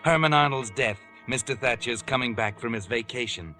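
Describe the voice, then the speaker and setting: An ominous old-time radio mystery narrator, sinister and knowing, mid-pitched, music underneath. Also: male voice, moderate pace, pushed and bright. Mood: knowing, grim.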